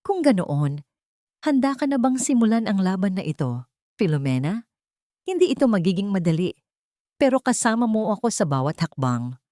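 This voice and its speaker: A woman voicing an elderly male lawyer who speaks in a measured, reassuring way in an audiobook drama. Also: medium pitch, very animated, warm tone, dry recording, studio quality, close to an everyday voice. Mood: steady.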